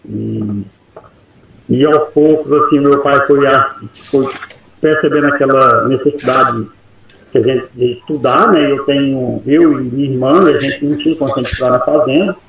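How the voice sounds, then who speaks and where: Gravelly man from telling his story. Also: mid-range voice, very echoey, a little hiss or hum, rough recording. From Goiás, Brazil. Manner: unhurried, rambling, folksy storytelling with soft laughs. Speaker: mentee teacher. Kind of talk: personal life story in an online meeting.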